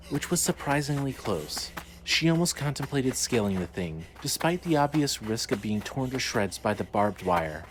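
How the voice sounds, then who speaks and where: A man narrating a scripted dramatic story as the narrator of an audio drama. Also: on the low side. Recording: faint ambient noise.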